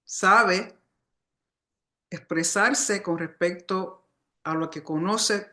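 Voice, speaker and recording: Female study teacher, unhurried didactic delivery, clear forward brightness in the tone. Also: lowish voice. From Puerto Rican family, born outside the island. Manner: didactic, explanatory, often reminding listeners. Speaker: religious teacher. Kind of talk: Torah study lecture.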